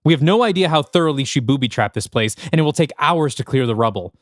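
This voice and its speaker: An actor playing a terse, wry male adventurer in an audio drama, with a deadpan, clipped delivery. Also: medium pitch, fast talker, no echo, studio quality, close to an everyday voice. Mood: urgent.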